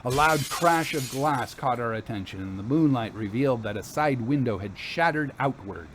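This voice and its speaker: Dramatic male storyteller, mid-pitched. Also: expressive, normal speed, a bit bright, a little hiss or hum. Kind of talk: scripted first-person ghost story narration.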